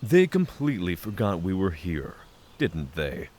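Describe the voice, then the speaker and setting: A man's voice: low-pitched, very animated, a little slow, darkish tone, heavily acted. A man playing a polite, formal, reflective druid traveler in a fantasy audio drama. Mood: curious. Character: courteous male druid traveler from the mountains, reflective guest.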